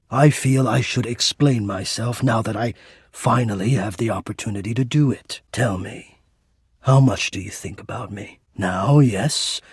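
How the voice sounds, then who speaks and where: An actor playing a shy, formal and lovestruck male ventilation system in a dating game, speaking earnestly and haltingly in a breathy voice. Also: fairly low pitch, no room sound, clean studio sound. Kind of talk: dating game character voice acting.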